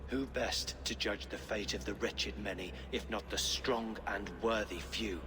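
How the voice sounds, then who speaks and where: An actor playing a zealous, cruel villain who sermonizes pompously with contempt for the weak in a video game. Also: male voice, lowish voice, steady tone, noisy background, lightly acted. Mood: cold contempt. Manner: slow, pompous sermonizing with whispered menace. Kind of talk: video game cutscene acting.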